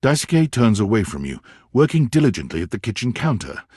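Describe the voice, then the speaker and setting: A man narrating a romantic comedy video game with measured, dramatic storytelling and deliberate pauses. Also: on the low side, very animated, darkish tone, husky, dry recording, clean studio sound. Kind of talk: scripted second-person narration of a dating game.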